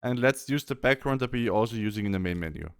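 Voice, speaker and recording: Man with lively, swooping intonation. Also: lowish voice, fast talker, smooth. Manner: explanatory, step-by-step. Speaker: tutorial host. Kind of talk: software tutorial narration over screen recording.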